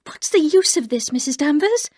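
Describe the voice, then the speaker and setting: A woman voicing a timid, earnest young wife who speaks in a raised, higher, pleading voice. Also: animated, moderate pace, darkish tone, dry recording.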